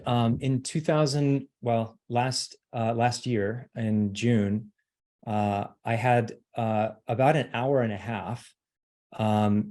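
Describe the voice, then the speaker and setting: A middle-aged man giving an academic lecture, pausing often with ums and uhs as he speaks. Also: fairly low pitch, dark tone. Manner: hesitant, many ums and uhs.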